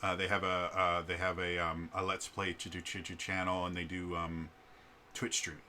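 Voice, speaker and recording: A man talking in a casual ranting vlog, often stammering on the first sound of words and filling pauses with ums and uhs. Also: low-pitched, completely flat delivery, slight background noise. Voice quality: loud voice. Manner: repeats word starts, stammering, many fillers. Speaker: vlogger.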